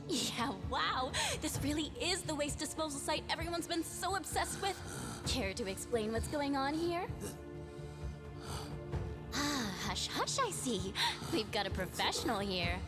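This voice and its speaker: A woman's voice: high voice, big pitch swings, measured pace. An actress playing a cheeky young female livestreamer in a video game, theatrical and mock-innocent as she addresses her viewers. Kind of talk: livestream host acting in a video game cutscene. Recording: music behind the voice.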